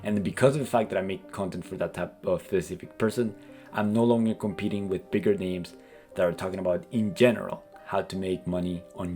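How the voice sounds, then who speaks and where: A man's voice: on the low side, a little mellow. A man from South America with a South American accent, earnestly explaining his plans in a casual vlog, peppered with "like" and "kinda". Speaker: YouTube creator and business coach. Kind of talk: casual talking-head vlog.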